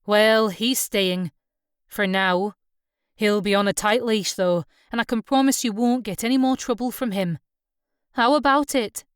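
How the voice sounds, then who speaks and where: A woman voicing a steady, fair-minded Scottish skipper in his mid-thirties, direct and reassuring, in an audiobook. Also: medium pitch, measured pace, smooth, no echo, studio quality, close to an everyday voice. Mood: firm. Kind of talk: audiobook character dialogue.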